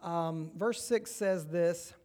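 A man with a Southern American accent preaches in a church, and his voice sits high in pitch. He keeps the sermon conversational and often checks in with the congregation through questions. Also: slow, darkish tone. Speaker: pastor.

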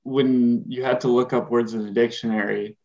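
A man's voice: medium pitch, fairly even intonation, moderate pace, vocal fry, darkish tone. A man co-hosting a podcast, speculating eagerly and laughing as he talks. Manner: enthusiastic, laughs while speculating. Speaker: podcast co-host. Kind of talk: casual chat. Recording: slightly dull lo-fi sound.

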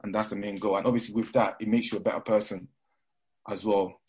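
A man's voice: lowish voice, flat delivery, fast, darkish tone, breathy edge. A young man from Nigeria with a mixed London and Nigerian accent, chatting casually as an interview guest, rambling with lots of fillers and restarts.

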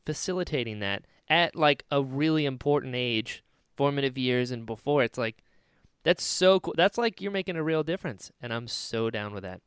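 A man's voice: medium pitch, expressive, normal speed, clean tone, no echo, clean studio sound. A man hosting a podcast in an informal, enthusiastic and affirming chatty way. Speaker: podcast host. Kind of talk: casual chat.

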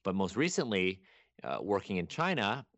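A young man from Canada, a guest chatting casually on a podcast, rambling and animated with many restarts. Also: fairly low pitch, moderate pace, dry recording. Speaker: guest archaeologist.

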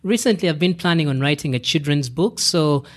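A man hosting a business podcast, asking questions in a relaxed, conversational way. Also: on the high side, fairly quick. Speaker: podcast host. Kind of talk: interview hosting.

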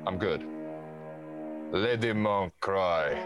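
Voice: low voice, very slow, music in the background. A performer playing a goofy, naive male police sidekick in a comedic radio play, earnest and eager.

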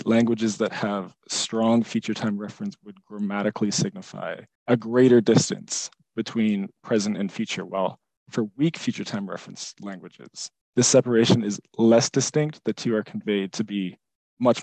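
A man co-hosting a podcast, explaining a research idea in a measured, lecture-like way. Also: on the low side, darkish tone, dry recording, low-quality recording. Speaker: podcast co-host presenting research. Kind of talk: explanatory discussion.

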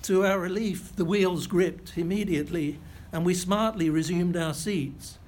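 High-voiced man giving a lecture. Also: darkish tone. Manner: measured, precise storytelling with occasional self-corrections. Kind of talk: reading a prepared memoir-style lecture.

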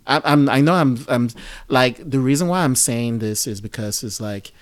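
A man's voice: medium pitch, moderate pace. A man in his thirties from Nigeria, talking casually and reflectively in a conversation, with lots of fillers and restarts. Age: 30s. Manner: reflective, rambling, many fillers. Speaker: guest.

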